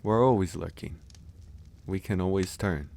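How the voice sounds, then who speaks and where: A man playing a calm, candid, easygoing werewolf in an intimate ASMR audio roleplay, musing unhurriedly with sighs and pauses. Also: sits low, gravelly. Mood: musing, storytelling.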